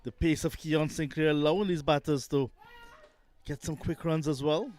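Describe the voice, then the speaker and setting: A man's voice: fairly high pitch, steady tone, normal speed, a little mellow. A man doing live cricket commentary, calling the shots and interviewing a player between balls.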